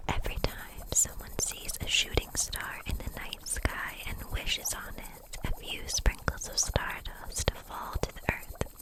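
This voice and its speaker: A woman playing a gentle, kindly kitchen witch in an ASMR roleplay, whispering softly in a soothing, encouraging way. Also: a little slow, natural voice, poor audio. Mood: tender, hopeful. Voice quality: breathy unvoiced whisper.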